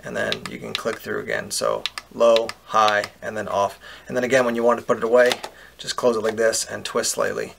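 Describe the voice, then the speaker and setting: A man giving a relaxed, step-by-step product demonstration outdoors, explaining as he goes with casual fillers. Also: lowish voice, a little fast, vocal fry, noisy background. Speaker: product reviewer. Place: outdoors on a paved patio.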